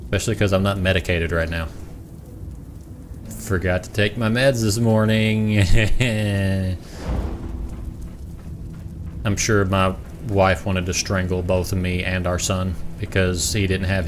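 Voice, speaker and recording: A man's voice: low voice, flat delivery, a little slow, long pauses, background noise. A young man from the American South with a Southern accent, streaming a game and rambling in self-mocking asides. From Southern United States. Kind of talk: casual gameplay commentary. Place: home room.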